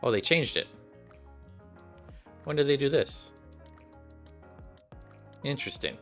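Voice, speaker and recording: A man's voice: mid-range voice, expressive, slow, a bit bright, faint music behind. A man giving slangy, offhand commentary as a gaming streamer. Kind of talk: casual gameplay commentary.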